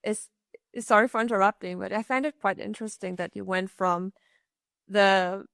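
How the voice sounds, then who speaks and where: Podcast interviewer: woman, smooth clear tone with vocal fry, dry close recording, polite and considered conversational delivery. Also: medium pitch, steady tone, moderate pace, a bit bright, no echo. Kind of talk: conversational interviewing with guest introduction.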